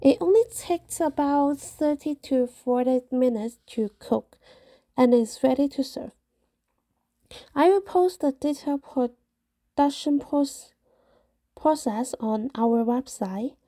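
A woman's voice: sits high, lively intonation, slow pace, dark tone, no echo, studio quality. A woman from Guangdong with a Cantonese accent, hosting a podcast and reading a prepared monologue carefully, with occasional hesitations. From Guangdong, China. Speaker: podcast host.

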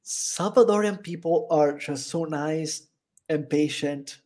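A man's voice: on the high side, slow pace. A man from Italy speaking English with an Italian accent, chatting as a podcast guest and laughing often. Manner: chatty, animated storyteller who laughs often. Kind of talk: casual interview chat.

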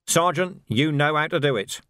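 A man's voice: fairly high pitch, normal speed, bright, forceful tone, no room sound, pitched above the performer's own voice. A man voicing a world-weary, authoritative watch sergeant in an audiobook, speaking tersely and with dry wit. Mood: firm, commanding. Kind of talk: audiobook character voice.

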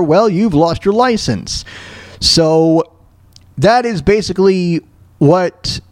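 An American man hosting a talk show solo, rambling casually through news and sports in a halting, stammering way, repeating words and phrases. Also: a little high, darkish tone, no room sound, studio quality.

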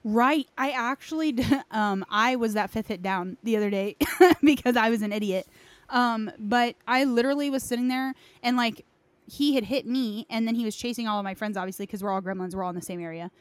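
A woman's voice: on the high side, fairly quick, no room sound. A woman hosting a gaming podcast, chatting informally and with some self-deprecation. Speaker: podcast host. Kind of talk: casual gaming chat.

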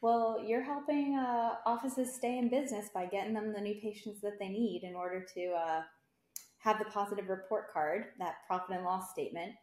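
A woman's voice: medium pitch, fairly even intonation, normal speed, darkish tone. Woman guest in an echoey room. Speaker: podcast guest, dental services executive. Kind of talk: casual interview chat. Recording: echoey, roomy sound.

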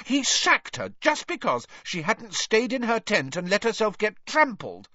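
A man's voice: sits high, sing-song, slow, fairly bright tone, husky, pitched above the performer's own voice, exaggerated voice. A man voicing an indignant, insistent teenage girl in an audiobook story. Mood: heated. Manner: insistent, heated. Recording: dull lo-fi audio, roomy echo.

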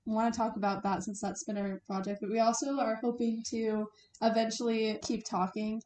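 A woman's voice: on the high side, level tone, moderate pace, a little mellow. A young woman with an American accent, chatting casually as a student podcast co-host. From Utah, United States. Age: young adult. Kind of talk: casual chat. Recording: echoey room with faint noise.

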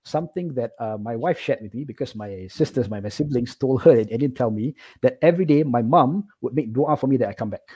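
Middle aged singaporean guy chatting about his life, talks really fast, bit husky, keeps going "right?". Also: medium pitch. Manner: animated, checks in with "right?" often. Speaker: interview guest. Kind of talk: casual personal storytelling.

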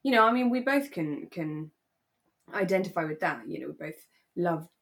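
A woman's voice: on the low side, animated, moderate pace. Woman chatting casually as podcast co-host. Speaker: actor. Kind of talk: relaxed casual chat about a radio drama.

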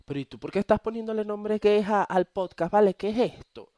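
A man's voice: sits high, brisk, dark tone, dry recording, clean studio sound, voice pushed up, natural voice. A man voicing a nosy Venezuelan woman who fires off exaggerated scolding questions. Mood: mock scolding.

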